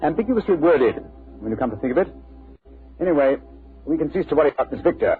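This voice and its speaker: A man's voice: a little high, animated, fast, close to an everyday voice. A man playing a shrewd, confident English detective with a clipped, incisive manner, in a 1940s radio drama. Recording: dull old radio recording, music behind.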